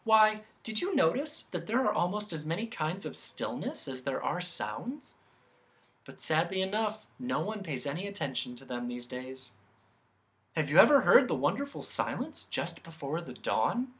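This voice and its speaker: A storyteller voicing a gentle, wistful female guardian of sounds who talks softly and at length in a storybook tale. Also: medium pitch, sing-song, normal speed. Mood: dreamy, wistful. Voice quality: soft, gentle. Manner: talkative, sighing, savoring each phrase.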